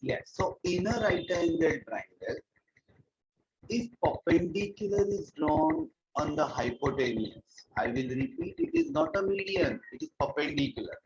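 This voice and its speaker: A man's voice: high voice, huge pitch swings, normal speed, vocal fry, darkish tone, breathy edge, dry recording, highs cut off. A man with an Indian English accent teaching a geometry lesson in an online class, repeating key points and mixing in Hindi phrases. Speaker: math teacher. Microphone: earphones mic on a video call.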